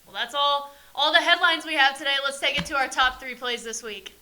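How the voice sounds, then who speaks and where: A woman's voice: high voice, brisk, sharp tone, slight background noise, rough recording. A young woman presenting sports headlines as a television co-anchor.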